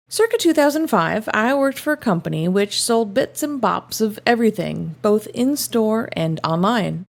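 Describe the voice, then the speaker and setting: A woman reading first-person confession stories aloud in an expressive, conversational storytelling manner. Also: on the high side. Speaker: story reader, host of a show reading online confessions.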